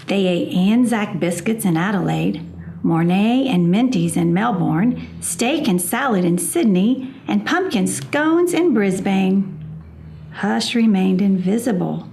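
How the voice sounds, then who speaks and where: A woman reading a children's storybook aloud in a measured, expressive storytelling manner. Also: mid-range voice, vocal fry, slight background noise.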